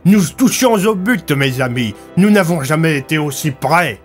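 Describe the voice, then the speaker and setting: A man performs an obsessive villain from an audio fantasy, grandiose and fervent. The voice is fairly high and drawn out, over soft music. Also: animated, close to an everyday voice. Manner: grandiose, slow, intense. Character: power-hungry, awestruck villain craving magic doors.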